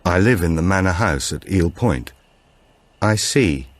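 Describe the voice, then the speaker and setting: A man's voice: deep voice, animated, a little slow, dry recording. A man reading the part of a caring, slightly anxious married father in an audiobook, plain-spoken and naturalistic. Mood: uneasy.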